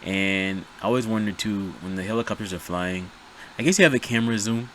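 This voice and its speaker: A man's voice: fairly low pitch, brisk, fairly bright tone, a little hiss or hum, poor audio. A man hosting a reaction video, talking in a chatty, rambling, self-deprecating way. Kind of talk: casual rambling commentary.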